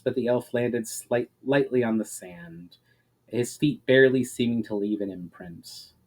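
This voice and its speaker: A man with a dark, mellow voice of medium pitch reads a fantasy tale aloud. His narration is dramatic, with sentence endings that fall away softly. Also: low-quality recording. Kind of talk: dramatic reading of a fantasy story.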